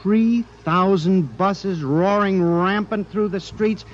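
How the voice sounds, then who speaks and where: Paranoid frantic man, old radio drama, highish agitated voice, muffled old recording. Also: slow. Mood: desperate, insistent. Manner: agitated, pleading, intense. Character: frantic, paranoid accused man convinced machines are alive. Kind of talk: 1950s radio drama acting. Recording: band-limited 1950s radio recording, music behind.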